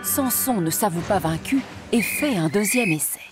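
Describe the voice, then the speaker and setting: A woman's voice: mid-range voice, expressive, normal speed, over music. A woman narrator reading a scripted story for a children's cartoon in a storytelling style.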